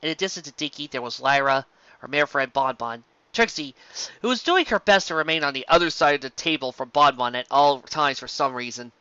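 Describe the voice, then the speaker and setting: An animated audiobook narrator reading a story. The voice is bright and forceful with a very lively range, captured close and dry on a slightly muffled recording. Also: mid-range voice, a little fast, smooth, dry recording.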